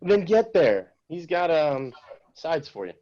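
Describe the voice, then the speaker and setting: A man's voice: fairly high pitch, big pitch swings, slow, mellow, lo-fi sound. A man reading the part of a pushy talent agent at a script table read, brusque and commanding. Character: pushy fast-talking male talent agent.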